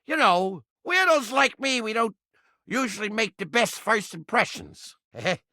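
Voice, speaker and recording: A crusty little mouse trap from a video game, brash and streetwise. The voice is piercing and strident, leaping up and down in pitch, recorded very dry. Under the surly bluster sits a soft heart. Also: male voice, very animated, unhurried, no room sound, heavily acted. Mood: sheepish, grateful. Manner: brash, yelling, streetwise slangy wisecracking. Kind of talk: video game character acting.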